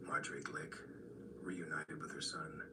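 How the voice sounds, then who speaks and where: An actor playing a brooding writer who narrates a radio horror drama in a hushed, breathy, somber and reflective way. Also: male voice, extremely low pitch, little pitch movement, measured pace, distant mic, heavy background noise. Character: brooding adult male writer recounting horrors.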